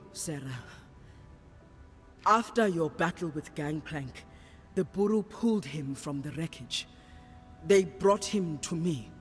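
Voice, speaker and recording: An actress playing a solemn, devout serpent priestess in a game cutscene, speaking in a measured, ceremonial manner. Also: female voice, low voice. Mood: remorseful, grave. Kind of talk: dramatic game cutscene acting. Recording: reverberant hall with faint music behind.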